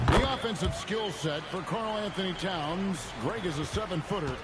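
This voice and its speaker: A man's voice: sits high, moderate pace, natural voice. A man voicing a play-by-play announcer commentating in a basketball video game. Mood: brisk commentary. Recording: loud game noise throughout. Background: basketball bounces and arena ambience from video game.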